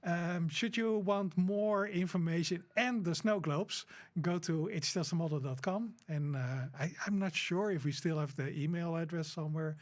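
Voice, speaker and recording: A man's voice: fairly high pitch, normal speed, hardly any pauses. A man, co-hosting an informal podcast and speaking hesitantly with many fillers, laughing easily. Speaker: podcast co-host. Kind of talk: informal unscripted conversation.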